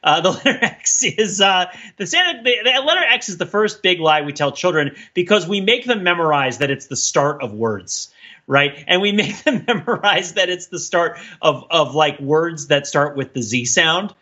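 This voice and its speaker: A man's voice: fairly high pitch, lively intonation, normal speed, pushed and bright, muffled recording. A man co-hosting a casual podcast discussion, explaining things in a rambling, digressive way and often checking in with "right?". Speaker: podcast co-host.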